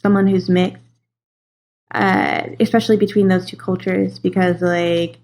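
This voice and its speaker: A woman's voice: on the low side, level tone, measured pace, a little mellow. A young woman from California chatting as an interview guest, talking loosely with many fillers and frequent laughs. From California, USA. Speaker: casting and voice director, guest. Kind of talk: casual interview chat.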